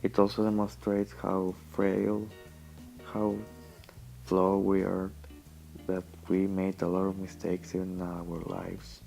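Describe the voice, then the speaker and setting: Young man, low creaky mellow voice. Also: monotone, poor audio. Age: young adult. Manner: halting, meandering delivery. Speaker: vlogger. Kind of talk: casual rambling audio diary. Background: quiet plucked guitar music.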